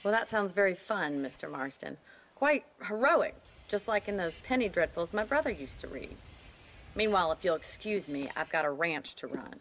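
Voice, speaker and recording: A woman's voice: lowish voice, expressive, normal speed, fairly bright tone. A woman voicing a spirited young rancher in a game cutscene, forthright and teasing in her plainspoken talk. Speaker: ranch owner. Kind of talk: video game cutscene dialogue.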